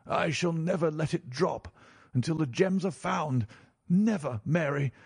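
A man voicing an impatient, stubborn older gentleman banker in a formal, indignant manner for a storybook. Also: a little high, unhurried, gravelly, husky, no echo, clean studio sound, pitched above the performer's own voice, exaggerated voice.

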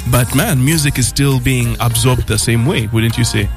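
A man hosting a casual interview, laughing often and backing his guest with quick "mm" and "yes" replies. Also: mid-range voice, vocal fry, over music. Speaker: interviewer host.

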